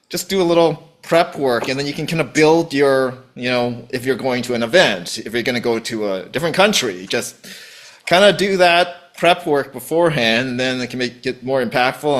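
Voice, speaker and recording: A man's voice: medium pitch, fairly bright tone. A man hosting a podcast, asking and summing up in a loose, rambling way full of fillers. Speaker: podcast host. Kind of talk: casual interview chat.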